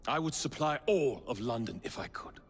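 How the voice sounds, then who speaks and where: An actor playing a haughty, menacing Victorian tycoon who speaks in a measured, sardonic, theatrical way. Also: male voice, medium pitch, lively intonation, close to an everyday voice. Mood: cold, mocking. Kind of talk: video game cutscene acting. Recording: music behind the voice.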